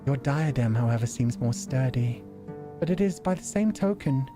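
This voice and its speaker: A man voicing a benevolent, subtly manipulative female mermaid in a visual novel, with formal, old-fashioned and unhurried diction. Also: medium pitch, lively intonation, music in the background, close to an everyday voice. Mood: soft, complimentary.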